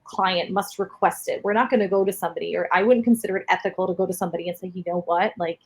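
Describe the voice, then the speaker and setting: A woman from New York City with a New York area accent, a speech therapist answering interview questions in a steady, explanatory way full of "like.". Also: lowish voice, racing, husky. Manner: explanatory, steady, many fillers of "like". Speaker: speech-language pathologist guest.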